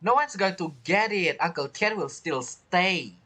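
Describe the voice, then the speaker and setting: Bright, lively gaming streamer. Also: medium pitch, unhurried. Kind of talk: casual let's play commentary.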